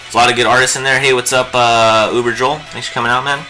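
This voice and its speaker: A man's voice: mid-range voice, fairly even intonation, quick pace, bright, forceful tone, faint music behind, rough recording. A young man hosting a live painting stream, chatting in a rambling, jokey way while he works. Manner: rambling and jokey, lots of fillers. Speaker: livestream host teaching digital painting.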